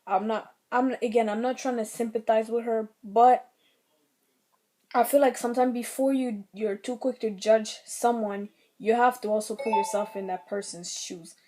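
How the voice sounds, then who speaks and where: A young woman with a slightly raspy throat, giving earnest, rambling commentary on her own vlog channel. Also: a little high, steady tone, normal speed, warm tone. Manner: earnest, rambling, many fillers. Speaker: vlogger.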